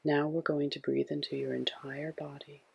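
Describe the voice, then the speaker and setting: A man with a husky, breathy voice gently guiding a sleep meditation in a soothing, hushed way. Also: fairly high pitch, level tone, moderate pace, no room sound, dull audio. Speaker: meditation guide.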